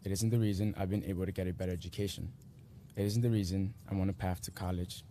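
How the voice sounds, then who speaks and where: A young man in his late teens, a native Spanish speaker from Guatemala, reading his personal essay aloud in earnest, measured short phrases at a school graduation ceremony. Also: low-pitched, steady tone, mellow, a little hiss or hum.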